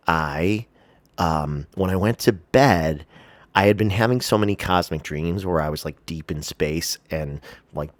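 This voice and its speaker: A man's voice: deep voice, no echo. A man with a congested, stuffy nose chats casually as a vlog host, rambling and hesitant in a self-deprecating way, close to the mic. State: congested, sniffling. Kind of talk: casual personal chat to camera. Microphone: close to the face.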